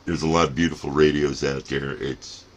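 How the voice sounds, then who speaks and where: A man's voice: rumbling low, a little fast. An older man in a casual, rambling hobby vlog, giving folksy advice about gear with frequent digressions and repeated words. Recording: noticeable steady background noise.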